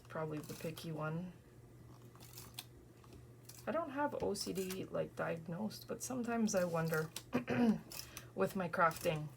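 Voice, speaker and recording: A woman in her thirties talks quietly through her scrapbooking, her low voice worn and heavily gravelly from an early morning. She muses to herself and slips into whispers now and then. A steady hiss sits under the recording. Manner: soft-spoken, thinking aloud, often drifting into whispers. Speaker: scrapbooking crafter. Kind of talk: casual crafting vlog narration.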